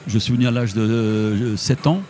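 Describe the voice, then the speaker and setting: A man's voice: lowish voice, level tone, normal speed, a little mellow. A man from Portugal, a motorcycle tour guide, chatting as a guest in a casual radio interview with many restarts.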